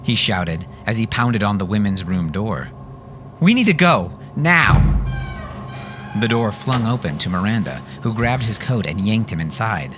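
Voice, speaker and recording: A man's voice: on the low side, expressive, normal speed, hardly any pauses, over music. A man narrating scripted fiction dramatically, as an audiobook narrator.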